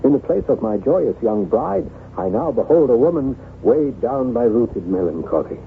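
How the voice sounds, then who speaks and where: A thin, noisy vintage radio recording carries a haunted nobleman husband. He speaks formally and gravely, with halting pauses and wide, theatrical swings of pitch, devoted and anguished. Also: sing-song. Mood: sorrowful. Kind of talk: old-time radio drama acting. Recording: noisy, narrow-band vintage radio broadcast.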